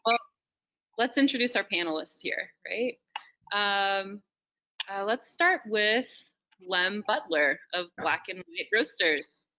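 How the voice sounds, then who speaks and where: Bright-voiced woman hosting a video panel. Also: mid-range voice, lively intonation, takes it slowly, highs cut off. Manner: casual, hesitant with fillers. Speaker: panel co-host.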